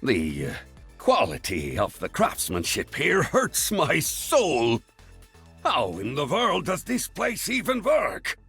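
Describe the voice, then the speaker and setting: Very raspy, stern character. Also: male voice, fairly high pitch, wildly swooping pitch, slow pace, bright, forceful tone, soft background music, full character voice. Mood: disapproving. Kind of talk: video game voice acting.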